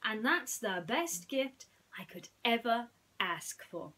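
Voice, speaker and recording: A woman's voice: on the high side, sing-song, takes it slowly, pushed and bright, heavily acted. A woman voicing a small, friendly male mouse with a folksy, hesitant way of talking in a bedtime story. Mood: grateful, affectionate.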